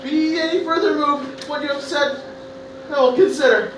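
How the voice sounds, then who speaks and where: Man doing an anxious high-pitched teenage boy. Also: steady tone, moderate pace. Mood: earnest, guarded. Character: hesitant, earnest, anxious young teenage boy, noble Roman. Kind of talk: amateur comedic Shakespeare parody performance. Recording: very echoey, distant mic, noisy.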